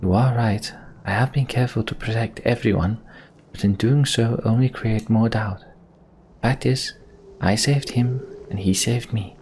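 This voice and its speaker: A man voicing an earnest, devoted sorceress in a fantasy video game, measured and sincere. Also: fairly low pitch, a little mellow, a little hiss or hum, natural voice. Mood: earnest, tender. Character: earnest, devoted female mender sorceress, solemn.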